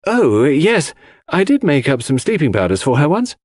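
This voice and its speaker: A man plays a reserved young woman in an audiobook. The voice is lifted in pitch, polite but guarded and a little hesitant, recorded dry. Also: sing-song, normal speed, a little mellow, no echo. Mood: constrained. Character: reserved, constrained young Englishwoman, politely answering questions. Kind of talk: audiobook character dialogue.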